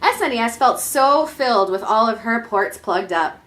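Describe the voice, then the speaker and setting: A woman's voice: on the high side, expressive, moderate pace, fairly bright tone. A woman reading a comic story aloud at a live show, as a storyteller in a comedy troupe.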